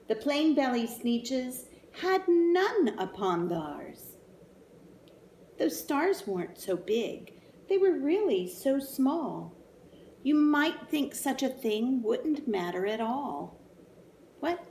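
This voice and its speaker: A woman's voice: fairly high pitch, gravelly, a little hiss or hum. A woman reading a picture book aloud to children expressively, with chatty asides to young listeners. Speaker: children's story reader, teacher.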